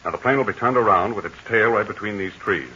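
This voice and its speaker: An actor playing a brave, steady adult aviator hero in 1940s radio acting, calm and reassuring in manner. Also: male voice, lowish voice, fast. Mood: encouraging. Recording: hissy, muffled 1940s radio transcription.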